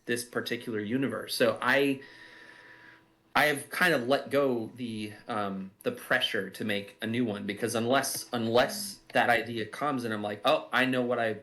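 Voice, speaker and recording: A man's voice: medium pitch, fairly even intonation, moderate pace, pushed and bright. A man who writes and makes audio drama, speaking unscripted and reflectively, often circling back to rephrase as he goes. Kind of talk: unscripted commentary discussion.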